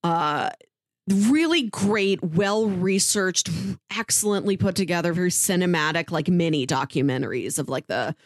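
A woman's voice: on the low side, lively intonation, moderate pace, no echo. Chatty woman podcaster, close dry sound. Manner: chatty, informal, frequent "like" asides. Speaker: podcast co-host. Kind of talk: casual podcast recommendations.

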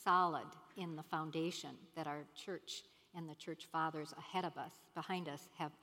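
A woman's voice: deep voice, fairly even intonation, normal speed, bright, forceful tone. A woman cohosting a live podcast, chiming in with short affirmations. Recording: live hall reverb.

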